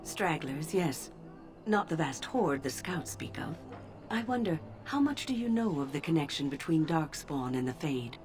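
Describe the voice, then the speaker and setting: A woman voicing a calm, wise older female mage in a video game, speaking in a measured, formal way. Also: low-pitched, faint music behind. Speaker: game character.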